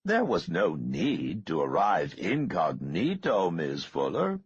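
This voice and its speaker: A man voicing a courtly, smooth-talking male billionaire with an oily, polite manner. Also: sits low, wildly swooping pitch, takes it slowly, dry recording, highs cut off, exaggerated voice.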